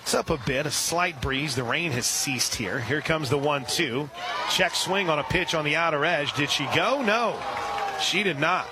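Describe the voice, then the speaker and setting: A man calling a softball game live on radio, steady between pitches and reading listener shout-outs. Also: moderate pace, background noise. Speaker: radio play-by-play announcer. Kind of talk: live radio sports commentary.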